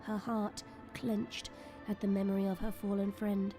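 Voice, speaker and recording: A woman's voice: medium pitch, little pitch movement, normal speed. A woman reading scripted, dramatic story narration as the narrator of an audio drama. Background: soft music.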